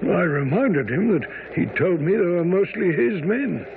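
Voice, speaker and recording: An actor playing an earnest, loyal gentleman who narrates a radio mystery in a dramatic storytelling manner. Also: high voice, sing-song, unhurried, vocal fry, slight background noise, full character voice. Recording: very muffled old radio broadcast, music.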